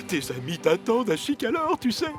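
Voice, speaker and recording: A man voicing a pompous, panicky older gentleman in a French cartoon dub, stammering and melodramatic as he grumbles. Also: extremely high pitch, huge pitch swings, moderate pace, over music, heavily acted. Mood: gloomy, dramatic.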